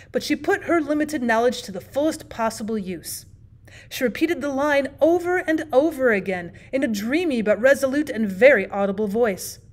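Lady teacher reading a story audiobook style, voice a little on the high side. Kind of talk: scripted audiobook narration.

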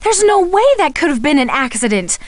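Casting: friendly young American soap starlet for an adventure game, highish voice, earnest and expressive, dry close sound. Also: female voice, brisk, no echo. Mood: worried. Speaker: video game character voice actor. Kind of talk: scripted game dialogue.

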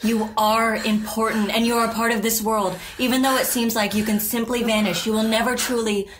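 An actress playing a composed, reassuring therapist, speaking formally and with gentle, measured questioning in an audio drama. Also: female voice, a little high, level tone, a little hiss or hum, natural voice. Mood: earnest, reassuring.

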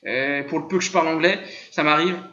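High, bright-voiced man teaching with animated rhetoric. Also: brisk. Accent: Arabic-tinged French. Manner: animated, rhetorical questions, frequent chuckles. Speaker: religious teacher. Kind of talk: live online religious lesson. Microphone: voice chat room. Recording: roomy, dull lo-fi voice-chat audio.

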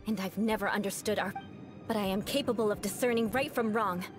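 A woman's voice: medium pitch, brisk, music in the background, close to an everyday voice. An actress playing a proud, defiant young noblewoman knight in a video game, composed, aloof and formal. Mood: defiant, stern.

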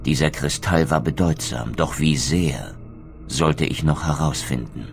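A man voicing a brooding, noble undead vampire wraith who narrates his quest in a solemn, measured, literary manner for a video game. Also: extremely low pitch, creaky, over music, full character voice. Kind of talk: scripted dramatic game narration.

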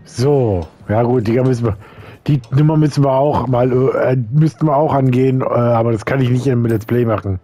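A man's voice: medium pitch, moderate pace, mellow. A man in his thirties from Germany giving rambling, offhand commentary while playing a story game. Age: 30s. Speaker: let's player. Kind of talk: casual gameplay commentary.

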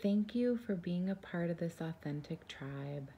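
A woman leading a guided meditation in a soft, soothing, gentle and unhurried voice, breathy at times. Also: on the low side, lively intonation, highs cut off.

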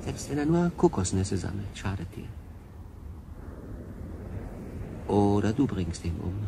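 An actor playing an earnest, brooding stranded man in a science fiction radio drama, speaking in a deliberate, probing way. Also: fairly low pitch, sing-song, creaky, background noise. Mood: troubled, insistent.

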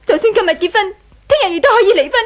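An actress playing a wronged, resolute young wife in a 1980s radio drama, with a Hong Kong Cantonese accent. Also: female voice, extremely high pitch, animated, racing. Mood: cold, hurt.

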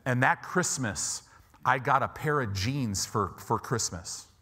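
Male pastor, 50s, American, medium pitch. Conversational, emphatic preaching on a church stage with a lapel mic. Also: expressive, measured pace, sharp tone, husky. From United States, Chicago and Southern California. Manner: emphatic, repeats phrases for stress, rhetorical check-ins. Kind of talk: conversational sermon. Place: church auditorium stage, indoors.

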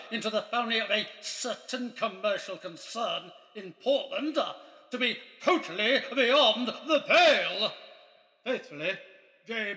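A man acts a haughty merchant father in an audio drama. His voice is high and bright, with long reverb, and his formal, disapproving delivery halts here and there. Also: heavily acted.

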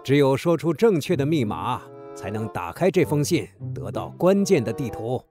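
Man playing elderly wind god, teasing, chuckling, lively intonation, music behind, children's audio drama. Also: mid-range voice, moderate pace, close to an everyday voice. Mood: teasing, mysterious. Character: playful old male wind god, teasing guardian.

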